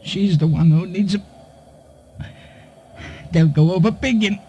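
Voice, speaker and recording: An actor playing a bitter dying crook in a 1950s radio crime drama, sneering slangy tough talk through strain. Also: male voice, sits high, measured pace, mellow, breathy edge, exaggerated voice. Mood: bitter, pained. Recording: noisy vintage radio recording.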